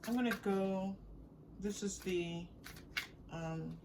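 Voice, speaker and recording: A woman's voice: medium pitch, takes it slowly. A woman giving a casual, improvised tarot reading, speaking directly to the listener in an encouraging, conversational way. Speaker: tarot reader hosting a reading. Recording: distant mic with faint noise.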